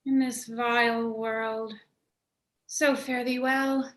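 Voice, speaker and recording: A woman plays a loyal, grieving handmaiden in Shakespearean radio drama. Her high, bright voice is slow and solemn, ringing in an echoing room. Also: flat delivery, a little hiss or hum. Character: devoted, grieving handmaiden of a queen. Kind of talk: theatrical Shakespearean verse in a radio play.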